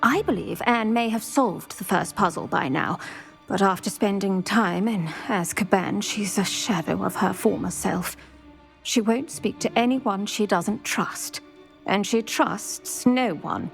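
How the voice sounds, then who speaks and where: An actor voicing an older retired Auror woman who speaks briskly and formally in scripted game dialogue. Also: medium pitch, gravelly, faint music behind. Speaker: retired Auror guiding a student.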